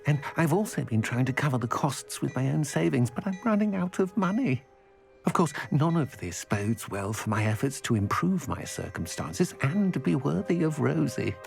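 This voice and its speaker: A man's voice: a little high, sing-song, normal speed, creaky, soft background music. A man playing an anxious, lovesick shop clerk in a video game, with a British accent and a formal, fretful manner. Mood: fretful, confiding. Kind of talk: video game voice acting, scripted dialogue.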